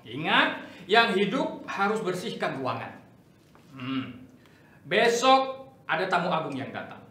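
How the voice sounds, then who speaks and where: Performer as bombastic stage general, commanding, widely swinging pitch, roomy distant recording. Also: wildly swooping pitch, slow pace, bright, forceful tone, heavily acted. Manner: declamatory, emphatic, commanding. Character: bombastic, authoritarian general scheming amid political chaos. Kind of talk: theatrical dramatic reading. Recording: echoey room, distant microphone.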